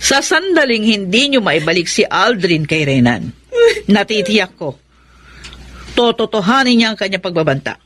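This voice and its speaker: A woman's voice: medium pitch, very animated, moderate pace, a bit bright, dry recording. A woman with a Filipino accent playing an anxious, earnest middle-aged mother in a radio drama. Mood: earnest pleading. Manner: pleading, earnest.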